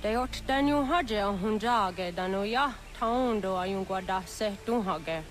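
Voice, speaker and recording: An actress playing a serious elderly village matriarch in a video game cutscene, speaking in a measured, grave way. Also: female voice, medium pitch, lightly acted. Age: older. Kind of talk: dramatic game cutscene acting.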